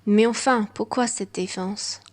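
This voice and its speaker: An anxious lady traveller played by a woman with a medium-pitched voice. She speaks in the style of an amateur radio play. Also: steady tone, measured pace, close to an everyday voice. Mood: concerned.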